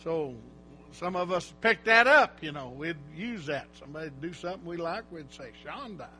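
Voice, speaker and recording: A man preaching informally in church, rambling and folksy, breaking into loud exhortations. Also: a little high, expressive, normal speed, faint music behind.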